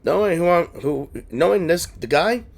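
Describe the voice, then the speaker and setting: A man's voice: fairly high pitch, animated, moderate pace, vocal fry, background noise. A man giving casual, playful game playthrough commentary, thinking aloud and reacting, with game music behind. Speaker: gaming streamer.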